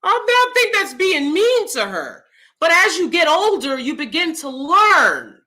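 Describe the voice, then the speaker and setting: A woman with a loud, clear voice giving her opinions in a casual vlog, blunt and emphatic as she speaks straight to viewers. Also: very high voice, sing-song, unhurried. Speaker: YouTube commentator.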